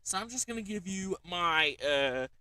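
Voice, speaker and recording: A man's voice: sits high, expressive, normal speed, pushed and bright, no echo, far from the mic. A man hosting a casual livestream, rambling with lots of fillers as he shows off a gadget. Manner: rambling, frequent fillers and "alright?" check-ins. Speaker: streamer.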